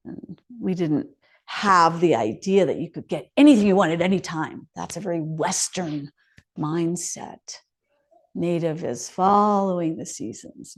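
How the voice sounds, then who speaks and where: A woman's voice: on the low side, a little mellow. A woman chef giving a public talk, telling it like a story and asking the audience rhetorical questions. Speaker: chef and speaker. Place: hall of a residential contemplative community.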